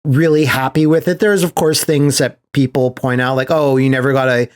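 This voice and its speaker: A man's voice: mid-range voice, steady tone, moderate pace. A man chatting casually as a podcast host, enthusiastic and rambling in a slangy way. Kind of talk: casual podcast chat about movies.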